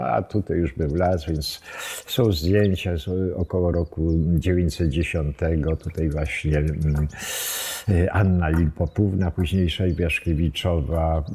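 A man, telling local history informally, haltingly and with wry asides. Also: low-pitched, gravelly, warm tone, slightly rough. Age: 70s. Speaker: villa owner telling its history. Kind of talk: informal storytelling to camera, reminiscing. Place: open wooden veranda outdoors among trees. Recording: outdoor background noise, birdsong, small clicks.

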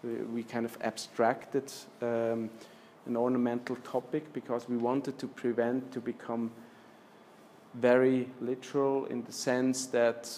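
A man in his fifties presenting slides in a lecture room, speaking with many restarts and fillers, trailing off softly at the ends of phrases. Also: mid-range voice, level tone, measured pace, a little hiss or hum. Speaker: architecture lecturer. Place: lecture room with projector screen.

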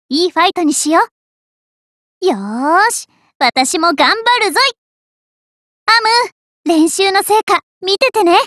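Earnest, sweet teenage girl card fighter for an anime game; piercingly high, bright delivery, dry studio sound. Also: dry recording, heavily acted. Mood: eager. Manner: sweet, eager, emphatic called-out phrases.

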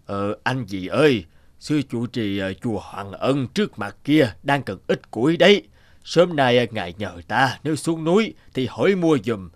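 A man's voice: mid-range voice, lively intonation, normal speed, no room sound, natural voice. A helpful old village man in a cartoon folk tale, voiced by a man. He is mild in temper. He talks with small hesitations, offering advice plainly.

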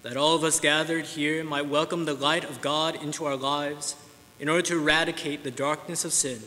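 A man reading intercessions aloud as a lector, in a steady, formal way. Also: fairly high pitch, moderate pace. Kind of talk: reading prayers of the faithful. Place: large church sanctuary. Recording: long church reverb, faint noise.

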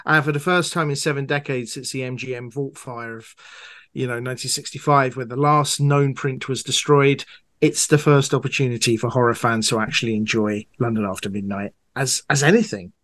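Man, interview guest, medium pitch, conversational producer talk. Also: fairly even intonation, a little fast, a bit bright.

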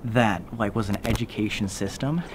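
A young man hosting an exploring vlog outdoors at night, chatty and excitable with loose filler words. Faint outdoor noise sits under his voice, picked up by the camera mic. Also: on the low side, normal speed. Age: young adult. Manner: excitable, swears a lot, drops to whispers. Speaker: host. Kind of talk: casual vlog chat. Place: outdoors at night in a jungle ruin.

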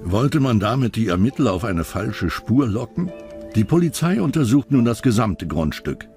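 A man's voice: mid-range voice, big pitch swings, normal speed, a little mellow. A man narrating a scripted true-crime documentary as its narrator. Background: background music.

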